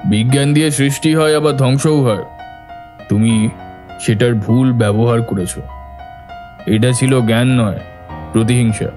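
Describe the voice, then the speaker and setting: Guy voicing cartoon detective mentor, calm and brainy, measured delivery, bit of music under it. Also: mid-range voice, lightly acted. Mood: grave, reflective. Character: calm, astute male detective mentor with scientific knowledge. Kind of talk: Bengali cartoon detective dialogue.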